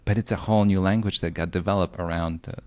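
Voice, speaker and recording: A man from Poland, a native Polish speaker, hosting an interview podcast in a measured, thoughtful way. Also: sits low, fairly even intonation, a little mellow, no echo. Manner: measured, thoughtful, frequent mm-hmm. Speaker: podcast host. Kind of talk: interview conversation.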